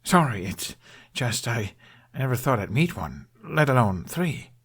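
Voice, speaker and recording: A man's voice: mid-range voice, very animated, a bit bright, breathy edge, full character voice. A man voicing an awkward, easily embarrassed teenage boy who stammers and halts as he talks. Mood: awestruck, flustered. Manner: stammering, halting, mumbling.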